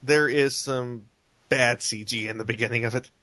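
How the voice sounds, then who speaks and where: A man's voice: mid-range voice, steady tone, moderate pace, bright, forceful tone, no echo. A man hosting a casual podcast chat, talking in a rambling way with lots of fillers and restarts. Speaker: podcast host. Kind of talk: casual chat about animated films.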